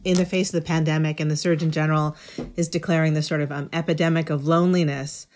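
A woman's voice: deep voice, little pitch movement, fast, darkish tone. A middle-aged woman speaking as an interview guest, explaining things in an articulate, thoughtful, conversational way. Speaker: interview guest, education writer.

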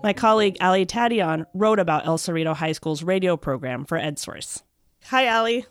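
A woman hosting a radio interview, with a measured, polished delivery of scripted questions. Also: lowish voice, lively intonation, over music. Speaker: radio host.

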